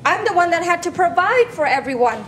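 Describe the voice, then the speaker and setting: A woman's voice: very high voice, very animated, brisk, fairly bright tone, heavily acted. A woman with as her playing a bitter, scolding refugee mother in a staged play reading, emphatic and exasperated. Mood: bitter, hurt. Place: large glass-walled hall, on stage. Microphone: handheld microphone through PA speaker. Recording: noisy live stage PA recording.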